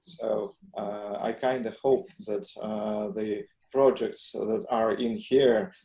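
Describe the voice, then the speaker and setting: Low, mellow-voiced man chairing a meeting. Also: steady tone, slow, roomy sound, rough recording. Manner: hesitant, many filler sounds. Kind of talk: video meeting discussion.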